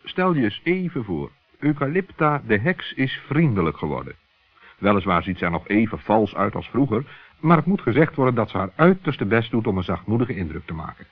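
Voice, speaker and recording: A man's voice: lowish voice, big pitch swings, fairly quick, husky, dry recording. A man narrating a children's radio play with theatrical, suspenseful storytelling. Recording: muffled old narrow-band radio recording.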